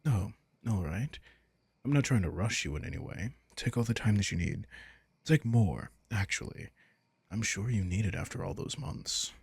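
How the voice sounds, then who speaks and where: A man's voice: fairly low pitch, expressive, normal speed, creaky, darkish tone, breathy edge, dry recording, exaggerated voice. A man playing a caring vampire king in an intimate roleplay audio, speaking in a casual, teasing, protective way. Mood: concerned, gentle. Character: commanding but caring male vampire king.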